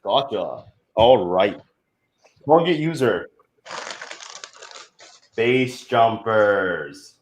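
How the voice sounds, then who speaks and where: An American man hosting a party pitch game on a video call, teasing and mock-stern with his questions. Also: animated, drawn-out words, long pauses, slight background noise. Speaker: game host.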